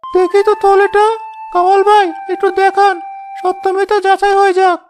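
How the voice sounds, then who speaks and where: A woman's voice: very high-pitched, level tone, normal speed, soft background music. A woman voicing an opinionated villager in a cartoon tale, stepping in and chiding. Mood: concerned. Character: opinionated villager weighing in on a dispute. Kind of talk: cartoon story voice acting.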